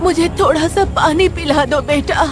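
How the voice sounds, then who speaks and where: A woman playing a high, pleading old woman. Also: moderate pace, over music, close to an everyday voice. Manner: pleading, groaning. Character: thirsty, pleading old village woman, secretly evil. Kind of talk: animated story character acting.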